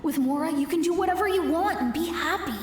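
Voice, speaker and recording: A cocky little kid. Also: female voice, very high voice, quick pace. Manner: boastful, joking. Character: money-minded young child, cocky and playful. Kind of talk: video game voice acting. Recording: distant echoey hall with music.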